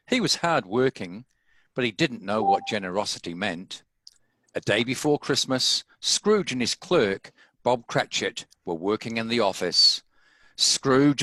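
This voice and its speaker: Male narrator for a story reading, lowish pitch, bright forward tone, close dry sound. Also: no echo. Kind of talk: scripted storybook narration in a table read.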